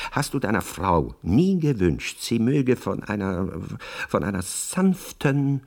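A very deep-voiced, wry bachelor. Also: male voice, full character voice. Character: cynical bachelor friend, wry, urbane, reluctantly indulgent, woman-weary. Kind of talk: radio play dialogue.

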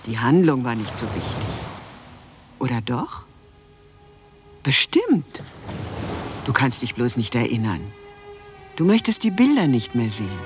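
An actor playing a nostalgic narrator in a radio drama monologue, intimate and musing. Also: male voice, medium pitch. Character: wistful male narrator, tenderly addressing a lost past. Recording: old cassette, music under voice. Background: music.

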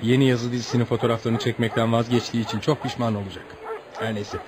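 A man's voice: medium pitch, flat delivery, quick pace, close to an everyday voice. A man acting in a radio play as an eager, stubborn American travel writer, chatty and exclamatory. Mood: searching, musing. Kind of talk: radio play acting with spoken-aloud thoughts. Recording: clearly noisy radio drama recording.

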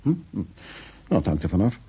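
An evasive married man talks in a dark, heavily husky voice. His pitch moves around a good deal, yet he sounds withdrawn and absent-minded, as in an intimate radio drama. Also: very animated, fairly quick, muffled recording, exaggerated voice. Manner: evasive, absent-minded, short muttered replies. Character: evasive, withdrawn husband, married ten years. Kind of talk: naturalistic Dutch radio play acting.

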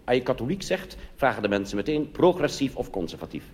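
Animated male cabaret comedian in a reverberant hall. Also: medium pitch, fast talker, a little hiss or hum. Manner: conversational, wry asides. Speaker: cabaret performer. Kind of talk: live comic monologue. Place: theatre stage. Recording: live theatre, long hall reverb.

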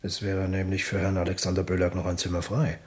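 A man's voice: deep voice, monotone, quick pace, close to an everyday voice. An actor playing a sly old hotel liftman in a radio drama, formally servile and understated. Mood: sly, deferential.

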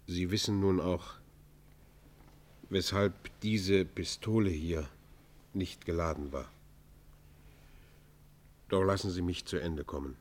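An actor playing a repentant robber chieftain who confesses in a solemn, declamatory and literary manner in a classic German radio play. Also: male voice, sits low, steady tone, takes it slowly, long pauses, darkish tone. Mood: subdued, contrite.

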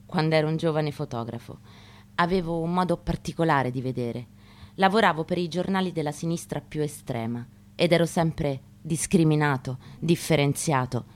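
A woman gives a dramatic literary reading in a measured, declamatory manner, with deliberate pauses. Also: low voice, a little mellow. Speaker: actress giving a stage reading. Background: acoustic guitar.

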